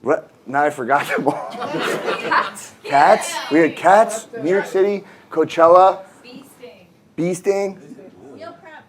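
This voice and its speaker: A man's voice: on the high side, takes it slowly, pauses often, crowd noise. A man performing and hosting an improv show, upbeat and giggly. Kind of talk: improv comedy. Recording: live stage with audience laughter.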